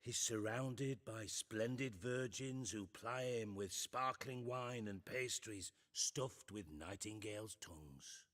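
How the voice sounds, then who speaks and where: A man's voice: fairly low pitch, takes it slowly, hardly any pauses, fairly bright tone. An actor playing a scheming, sardonic male spymaster in a game cutscene, delivering dry, menacing lines.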